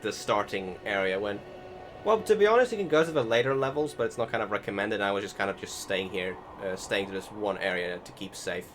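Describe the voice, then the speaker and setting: Low-voiced man commenting on gameplay over music. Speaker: gaming video commentator. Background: music behind the voice.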